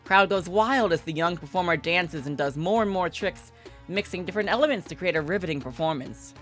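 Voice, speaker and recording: A woman's voice: fairly low pitch, expressive, fairly quick, a bit bright, soft background music. A woman livestreamer reading a visual novel aloud and commenting casually.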